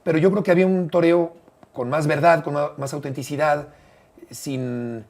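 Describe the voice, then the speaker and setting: An older man with a Mexican accent, telling stories as an interview guest in a measured way, often pausing on "eh" and repeating phrases. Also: fairly high pitch, steady tone, a little mellow. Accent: Mexican Spanish. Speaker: interview guest, broadcaster and bullfight writer. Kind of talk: relaxed conversational storytelling.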